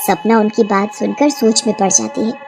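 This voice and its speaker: A woman storyteller reading scripted narration for an animated horror tale. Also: mid-range voice, moderate pace, faint music behind.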